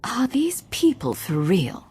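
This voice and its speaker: An actress playing a guarded, strong-willed military commander who speaks directly and challengingly in a video game. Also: female voice, medium pitch, huge pitch swings, takes it slowly, a little mellow, breathy edge, heavily acted. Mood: wary.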